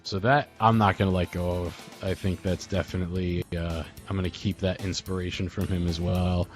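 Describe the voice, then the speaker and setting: A man with a fairly low voice reminisces casually on a group call. His talk is informal and rambling, full of slang, with music playing underneath. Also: level tone, normal speed. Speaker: podcast host and audio drama group member.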